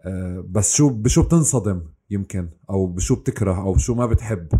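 A man hosting an interview in a relaxed, joking way. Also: low voice, fast, slightly rough. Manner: relaxed, joking, laughs easily. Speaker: interview host. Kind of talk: casual interview chat.

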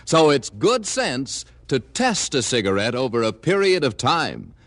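A man's voice: medium pitch, huge pitch swings, measured pace, a bit bright. A man announcing in the polished, emphatic sales style of mid-century American radio commercials.

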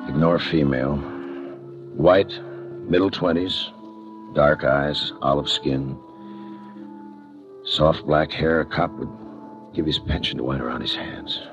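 Deep-voiced, terse hard-boiled homicide cop. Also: male voice, fairly even intonation, slow, long pauses, highs cut off, music in the background. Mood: clipped reporting. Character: tough, laconic homicide police lieutenant, adult man. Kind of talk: 1950s radio crime drama acting, with hard-boiled narration. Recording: noisy old 1950 radio recording.

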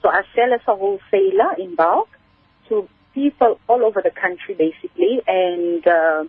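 A woman's voice: mid-range voice, animated, moderate pace, slightly rough, dry recording. A South African woman talking conversationally as an interview guest, explaining things at length in a storytelling way over a narrow phone line. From Kimberley, South Africa. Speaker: guest, spice business entrepreneur. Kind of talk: conversational radio interview answers.

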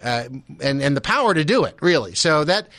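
A man's voice: fairly high pitch, sing-song, brisk, sharp tone, no echo. A man hosting a radio interview in a conversational, encouraging and affirming manner.

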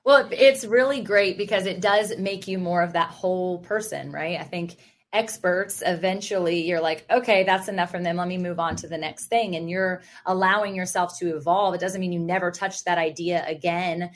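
Woman, slightly low voice, podcast host, conversational, affirming, reflective. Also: normal speed. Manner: affirming, encouraging interjections. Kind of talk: conversational interview, reflective responses.

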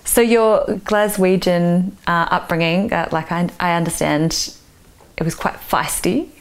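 A woman interviewing an artist, asking questions gently and affirming with short replies. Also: fairly low pitch, fairly even intonation, a little slow, clean tone.

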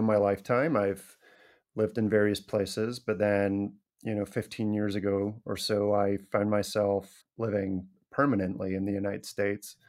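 Man, low voice, podcast guest, reflective casual talk. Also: darkish tone. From Scotland. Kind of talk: casual interview conversation.

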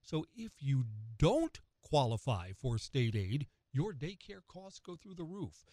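A middle-aged American man hosting morning radio, giving opinion commentary in a conversational, emphatic way with pointed pauses. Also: on the high side, big pitch swings, dark tone, dry recording, clean studio sound. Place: close, dry radio studio.

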